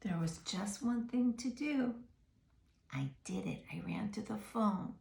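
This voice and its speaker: A woman's voice: medium pitch, animated, takes it slowly, vocal fry, darkish tone, reverberant. A woman reading a picture book aloud to children, expressively, with questions and asides to her young listeners.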